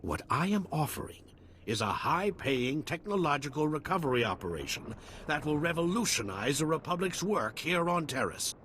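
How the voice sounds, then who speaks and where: A man's voice: big pitch swings, fairly bright tone, a little hiss or hum. Showy, swooping-voiced salesman. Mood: boastful pitching. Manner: showy sales pitch, joking, theatrical pauses. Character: flamboyant, self-amused male frontier tech salesman, greedy and cowardly. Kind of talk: video game character acting.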